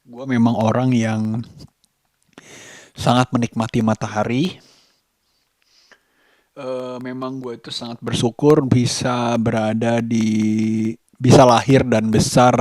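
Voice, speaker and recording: A man from Indonesia talks casually to his vlog, thinking aloud in a reflective, halting way as he searches for words. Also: mid-range voice, flat delivery, a little mellow, no room sound, studio quality. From Sukabumi, West Java, Indonesia. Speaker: vlogger, host of his own daily vlog.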